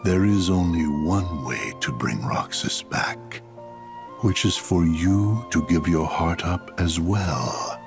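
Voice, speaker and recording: Gravelly, imperious cold villain. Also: male voice, low-pitched, music in the background. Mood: condescending. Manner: measured, grandiose, condescending. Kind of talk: dramatic video game cutscene acting.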